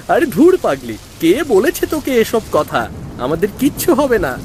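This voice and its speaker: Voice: mid-range voice, huge pitch swings, takes it slowly, a little mellow, a little hiss or hum. An actor voicing a brave, protective big brother, a village boy in a cartoon story. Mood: bold, calm.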